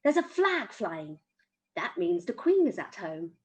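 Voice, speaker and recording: Woman as kindly storybook gentleman, patient, explanatory, lively pitch movement. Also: measured pace. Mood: kindly explaining.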